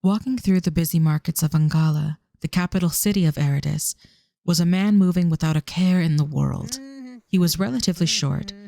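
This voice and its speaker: A man's voice: on the high side, a little fast, vocal fry, dark tone, dry recording, studio quality. A man reading scripted narration for an audio drama as its storyteller.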